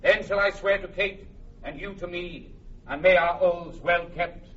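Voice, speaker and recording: A man's voice: high voice, unhurried, a bit bright, husky, exaggerated voice. An actor playing a bold, plain-spoken English warrior king who woos ardently, in classic Shakespearean radio drama style. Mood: triumphant. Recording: muffled old radio recording, faint noise.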